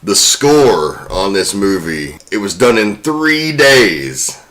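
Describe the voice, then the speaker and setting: Low, bright-voiced male podcast host. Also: very animated, takes it slowly. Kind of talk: informal film trivia podcast presentation.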